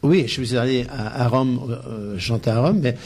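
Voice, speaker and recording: A man from Italy, a singer being interviewed on the radio, speaking haltingly and modestly with many restarts. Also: mid-range voice, a little mellow.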